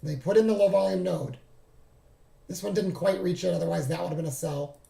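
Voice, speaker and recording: A man hosting a trading walkthrough who talks casually and thinks aloud, halting and correcting himself, and is losing his voice. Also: high-pitched, gravelly, warm tone, echoey room. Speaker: trading streamer. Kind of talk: casual screen-share walkthrough.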